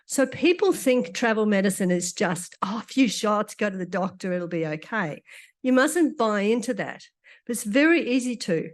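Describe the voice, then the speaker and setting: Croaky-voiced woman giving a lecture. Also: a little high. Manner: conversational, with dry asides. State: croaky after illness. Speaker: doctor giving a conference talk. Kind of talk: conference lecture.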